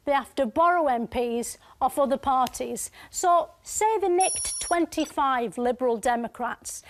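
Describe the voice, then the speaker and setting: An actress playing a dim, earnest female TV presenter who explains things in a muddled, deadpan way. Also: sits high. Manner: deadpan, muddled, matter-of-fact. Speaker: comic presenter explaining election.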